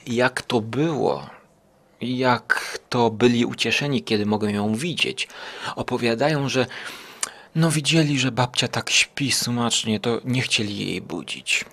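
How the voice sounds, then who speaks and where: A man hosting a podcast, giving an informal review in a halting way, rephrasing as he goes. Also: medium pitch, creaky, fairly bright tone.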